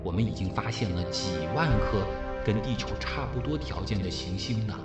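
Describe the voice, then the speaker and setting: Gentle uncle with lively intonation male actor. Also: on the low side, normal speed, natural voice. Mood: tender, wistful. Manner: gentle, patient, explanatory. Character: tender, protective uncle explaining space to a child. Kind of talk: radio drama acting. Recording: echoey, loud music, background noise.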